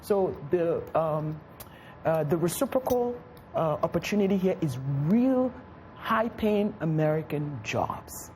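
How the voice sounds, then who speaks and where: A woman's voice: fairly low pitch, animated, measured pace, darkish tone, a little hiss or hum. A woman speaking as a studio guest on a talk show, making an earnest, persuasive case.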